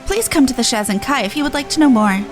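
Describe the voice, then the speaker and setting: A woman's voice: sits high, expressive, quick pace, over music. A woman playing a dignified, gracious leader in a video game, speaking formally and with composure. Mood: solemn, dutiful.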